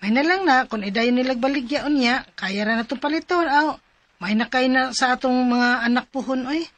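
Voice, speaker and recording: A woman's voice: high-pitched, brisk, a bit bright, dry recording. An actress with a Cebuano Filipino accent playing a practical, supportive wife and mother in a radio drama, speaking encouragingly.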